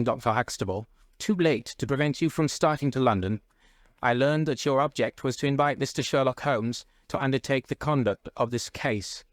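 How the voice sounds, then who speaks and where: A man's voice: mid-range voice, no echo, studio quality, pitched above the performer's own voice, lightly acted. A man voicing an officious young private secretary in a classic story, with an incisive, formal manner.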